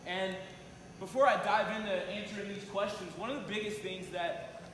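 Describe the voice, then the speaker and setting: A man giving a motivational talk as a coach at a conference, addressing his audience directly with frequent hesitant pauses, in a large room. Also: high-pitched, fairly even intonation, fairly bright tone. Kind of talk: motivational public presentation. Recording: very echoey noisy hall, long reverb.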